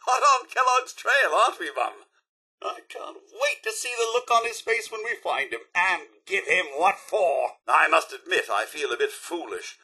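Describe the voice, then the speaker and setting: A man's voice: high voice, sharp tone, breathy edge, exaggerated voice. A man playing a prim, devoted robot butler in a video game, fussy and formally polite, with a British accent. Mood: eager, then worried. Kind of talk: video game character dialogue.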